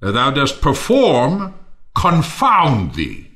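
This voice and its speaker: Scornful misanthrope in a shakespeare audio play, bright forceful voice, really slow ranting, declamatory. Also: male voice, medium pitch, wildly swooping pitch, exaggerated voice. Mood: bitter, scornful. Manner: declamatory, scornful, drawn-out phrasing with sudden swoops. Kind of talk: theatrical Shakespearean audio drama.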